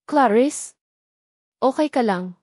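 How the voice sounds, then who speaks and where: A woman's voice: a little high, lively intonation, slow, darkish tone, no echo, studio quality, pitched above the performer's own voice. A woman voicing a worried, loyal young sister with an anxious, probing manner in a dramatic story.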